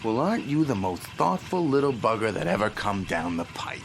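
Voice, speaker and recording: A sarcastic, animated tough crook. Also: male voice, fairly low pitch, moderate pace, a little hiss or hum. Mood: sarcastic, distrustful. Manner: folksy, sarcastic, blunt. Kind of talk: radio drama acting.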